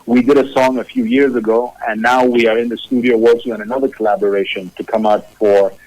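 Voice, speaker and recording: Musician guest, 30s, from Zambia, mid-pitched man, measured and thoughtful, dry studio sound. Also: fairly even intonation, darkish tone, dry recording, low-quality recording. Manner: measured, repeats words while thinking. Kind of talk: remote interview, casual. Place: his own music studio.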